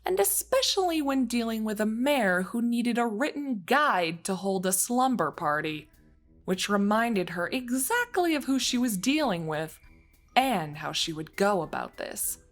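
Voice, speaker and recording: A woman's voice: fairly high pitch, very animated, a little slow, faint music behind. A woman narrating a scripted story as its narrator, reading in prose between characters' dialogue.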